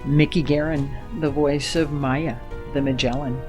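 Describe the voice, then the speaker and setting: A man's voice: fairly high pitch, steady tone, a little slow, a little mellow, faint music behind. A man announcing an audio drama's closing teaser and credits from a script.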